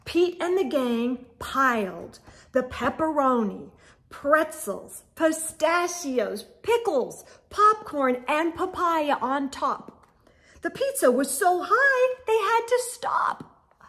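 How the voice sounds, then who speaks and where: A middle-aged woman reading a children's storybook aloud with expressive, exaggerated emphasis and sing-song refrains. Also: high voice, slow. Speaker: storytime read-aloud host. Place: indoor room with bookshelves.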